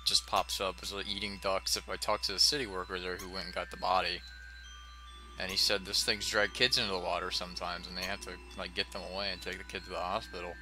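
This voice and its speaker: American guy with a deep voice doing a monotone lets play, rambles and swears, game music playing behind him. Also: fast, poor audio. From United States, Ohio. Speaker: let's play host. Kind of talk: casual gameplay commentary. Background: video game music.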